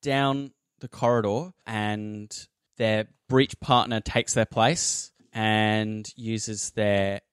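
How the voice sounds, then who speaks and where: A man's voice: lowish voice, fairly even intonation, very slow, clear, resonant tone, dry recording, clean studio sound. A man acting as game master, mixing scene narration and rules calls with casual table talk. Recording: music playing behind. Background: background music.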